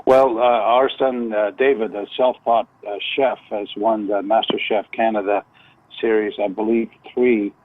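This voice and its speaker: A narrow, muffled phone line carries an older man's voice. He is a guest calling a radio show, speaking casually in a lowish, fairly even pitch. He halts and repeats words as he goes. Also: measured pace, dry recording. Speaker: phone-in guest, retired contractor.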